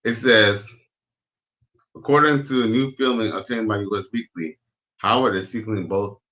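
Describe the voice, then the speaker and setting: A man with a very deep baritone gives loud, crude, slang-heavy reaction commentary. His voice is low yet bright and forceful. He hosts the talk in a casual way. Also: poor audio. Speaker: commentary host.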